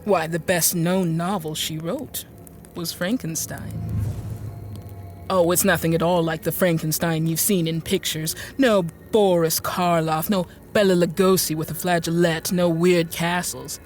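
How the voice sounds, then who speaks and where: A woman's voice: lowish voice, fairly even intonation, measured pace, faint music behind, lightly acted. An actress playing a genteel, infirm woman inventor in a radio drama, with courteous, hesitant, old-fashioned speech. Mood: polite, hesitant. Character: genteel, infirm middle-aged woman inventor, politely apologetic and bookish. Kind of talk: radio drama monologue and dialogue.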